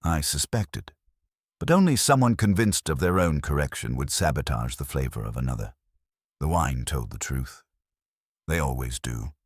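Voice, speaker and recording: Man reading as deep, imperious detective. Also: animated, husky, dry recording, clean studio sound, heavily acted. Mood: terse, certain. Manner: formal, deliberate pronouncements. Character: imperious, erudite male detective, deliberate and terse. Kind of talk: detective audiobook character dialogue.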